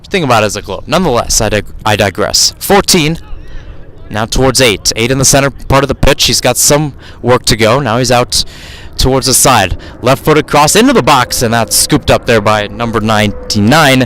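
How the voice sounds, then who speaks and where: Male radio soccer commentator, mid-pitched voice, live outdoor call, digressive and self-correcting. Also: lively intonation, moderate pace, fairly bright tone, poor audio. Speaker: radio play-by-play announcer. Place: outdoors at youth soccer fields.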